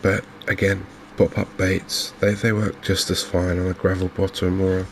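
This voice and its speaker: Softly spoken English male game host, flat and hushed delivery, gravelly fry, faint background noise. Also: deep voice. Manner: gentle, unhurried, hushed. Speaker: gaming video host. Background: fishing game ambience.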